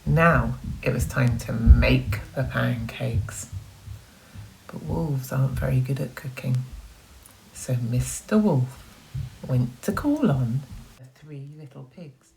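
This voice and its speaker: A woman's voice: extremely low pitch, takes it slowly, creaky, warm tone, a little hiss or hum, poor audio. A woman teacher reading a children's story expressively, with dramatic emphasis and questions put to her young listeners. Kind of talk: animated children's storytelling with asides.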